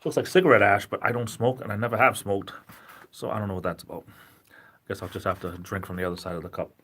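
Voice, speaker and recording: Rambling Rhode Island man, low voice. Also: fairly even intonation, quick pace, a bit bright, slightly rough. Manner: rambling, self-deprecating, chatty. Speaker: livestream host. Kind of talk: casual livestream chat.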